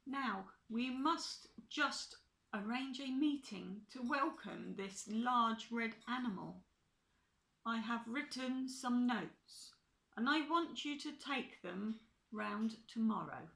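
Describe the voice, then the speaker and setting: A formal, wise storybook owl played by a woman reader addresses the farm animals. The delivery is slow and a little lifted, like a measured announcement, with the echo of a room around it. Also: fairly high pitch, creaky, a bit bright, full character voice. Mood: instructing. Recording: echoey room.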